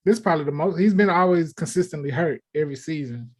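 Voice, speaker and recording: A man with a somewhat high voice speaks as a panelist on a talk show. He argues in a matter-of-fact way, keeping the debate casual and conversational. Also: animated, quick pace.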